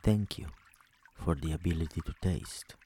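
A man leading a guided sleep meditation, speaking slowly and soothingly with long pauses between phrases. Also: deep voice, warm tone, a little hiss or hum.

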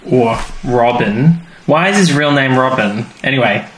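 A male podcast co-host talks in a loose, rambling, conversational way, often leaving thoughts unfinished. His pitch sits in the middle. The recording has a noticeable room echo and some light background noise. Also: a little slow, a bit bright. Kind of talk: casual chat reviewing a TV serial.

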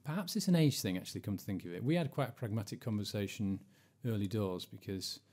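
Male podcast host, forties, mellow tone, chatty and wryly self-deprecating. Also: lowish voice, fast talker. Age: 40s. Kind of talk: casual podcast chat.

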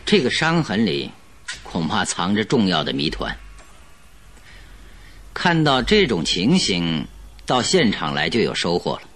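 An actor playing a sharp, composed detective in a radio drama, speaking in a measured, questioning and authoritative way. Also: male voice, deep voice, huge pitch swings, full character voice. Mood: thoughtful, decisive.

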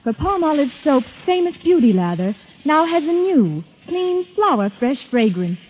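A woman's voice: sits high, big pitch swings, normal speed. A woman reading a scripted commercial as an old-time radio announcer. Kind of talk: vintage radio advertising read. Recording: thin phone-like vintage radio, faint noise.

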